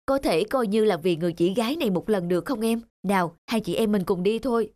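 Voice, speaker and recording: An actress playing a caring young elder sister in a cartoon drama, speaking in a gentle, earnest, consoling way. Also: female voice, mid-range voice, quick pace, natural voice. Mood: coaxing. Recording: prominent background music.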